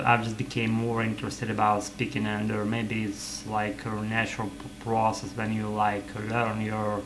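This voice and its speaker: A man whose first language is Russian, rambling in English in a vlog from his apartment, halting with many fillers and self-corrections. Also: fairly low pitch, fairly bright tone, slight background noise, poor audio. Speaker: vlogger. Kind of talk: rambling English practice monologue.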